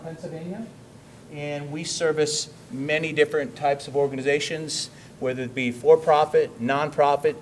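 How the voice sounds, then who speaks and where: A middle-aged man with a loud, mid-range voice gives a business pitch at a public meeting. He pads his points with fillers and checks in with "okay?" and "all right?" There is a faint hiss behind him. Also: low-quality recording. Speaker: wellness program presenter. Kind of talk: business presentation. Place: school district meeting room.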